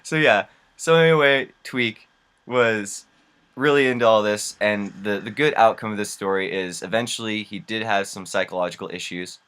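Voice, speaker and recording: A man's voice: on the low side, normal speed, a bit bright, clear, resonant tone. A young man telling casual, rambling stories as a gaming vlogger, with slangy, incredulous asides. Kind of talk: casual rambling storytelling over gameplay.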